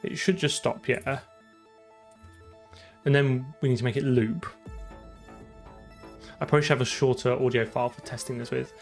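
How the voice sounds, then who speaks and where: A man's voice: medium pitch, steady tone, normal speed, pauses often, faint music behind. A man chatting casually on a live coding stream, thinking aloud in an informal way. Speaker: streamer and game programmer.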